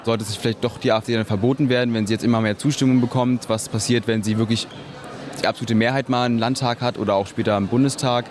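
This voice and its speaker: A man's voice: mid-range voice, steady tone, fast talker. A young man, a school student, asking questions off the cuff. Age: young adult. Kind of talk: spontaneous questioning.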